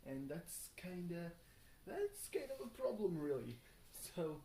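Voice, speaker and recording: A tired young man rambles casually through a video diary, halting and thinking aloud, often questioning himself. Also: a little high, big pitch swings, vocal fry, warm tone. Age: young adult. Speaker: video blogger. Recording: roomy echo, faint background noise.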